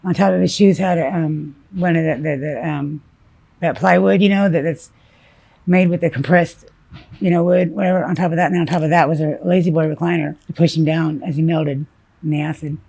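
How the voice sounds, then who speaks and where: A woman from South Dakota, whose first language was Spanish, telling her story haltingly in an interview, full of fillers and restarts. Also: sits low, steady tone, gravelly, warm tone, breathy edge. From South Dakota, USA. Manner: halting, many fillers and restarts, blunt about grim details.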